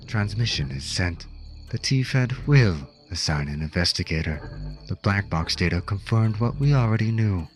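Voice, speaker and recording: An actor playing a coolly menacing agent of a secret backer, speaking in a measured, threatening way in an audio drama. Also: male voice, on the low side, lively intonation, soft background music, close to an everyday voice. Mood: cool, businesslike.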